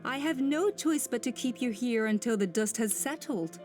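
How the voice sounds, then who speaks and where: A cold, scheming usurper, played by a woman, who talks calmly and menacingly in a slightly high voice, full of condescension. It sounds like a video game villain's cutscene. Strong music plays under her lines. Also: normal speed, close to an everyday voice.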